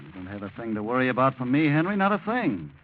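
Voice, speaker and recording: A cocky young schemer in an old radio play. His pitch swings freely as he soothes and taunts with slick false comfort. The recording is muffled, with background hiss and noise. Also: very animated, fast talker, creaky. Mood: slyly reassuring. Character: smooth, cocky young male blackmailer, mocking and scheming. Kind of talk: 1940s radio drama acting.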